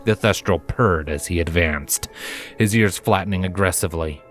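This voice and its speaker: A man's voice: deep voice, moderate pace. A man reading fan fiction aloud as a dramatic, scripted story narrator. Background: background music.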